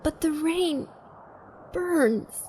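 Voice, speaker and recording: A woman's voice: high-pitched, drawn-out words, dark tone, a little hiss or hum. A woman playing an anxious, innocent young girl devoted to her father in an audio drama, childlike and plaintive. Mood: timid, curious.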